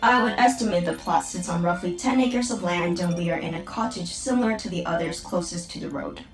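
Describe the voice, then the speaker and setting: A woman voicing a literal-minded female AI assistant who speaks in measured, formal phrases in an audio drama. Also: fairly low pitch, music in the background, natural voice. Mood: calm, precise.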